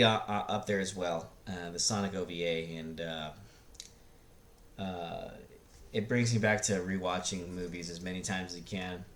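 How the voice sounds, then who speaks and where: Low-voiced man rambling in an echoey room. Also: fairly bright tone, slight background noise. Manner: hesitant, halting, searching for words. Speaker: video host reviewing films. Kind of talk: casual rambling commentary. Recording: roomy, echoey sound.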